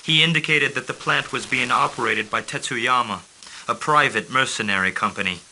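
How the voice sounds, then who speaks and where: A composed, formal male mercenary liaison from a game briefing. His voice is very bright and sharp, sits at a middle pitch, and stays measured and courteous. A faint hiss runs under the recording. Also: rough recording. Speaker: mission handler.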